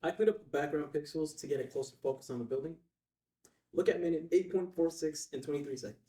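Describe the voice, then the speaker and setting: Geeky tech buddy, played by a man, very dark mellow tone, informal, roomy distant sound. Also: a little high, fast talker, close to an everyday voice. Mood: eager. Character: casual, geeky video analyst buddy. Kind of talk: screenplay table read.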